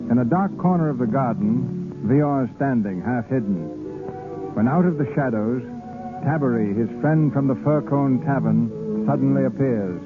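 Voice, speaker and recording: Old time radio announcer guy, formal dramatic narration, muffled, loud music. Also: medium pitch, moderate pace. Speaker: radio show host and narrator. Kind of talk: scripted 1930s-40s radio narration.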